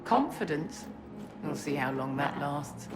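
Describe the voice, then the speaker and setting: A woman's voice: sits low, animated, a little slow. A woman playing a scornful, imperious enchantress in a fantasy game, with a cutting delivery. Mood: dry, disdainful. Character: haughty, scornful, distrustful captive enchantress. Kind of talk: dramatic fantasy game dialogue. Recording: hall reverb with clear music behind.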